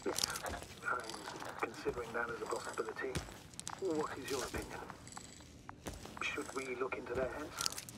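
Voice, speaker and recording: An actor playing a formal, calculating male mission commander in a video game, speaking deliberately and precisely through a radio filter. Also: medium pitch, heavy background noise. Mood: calm, analytical.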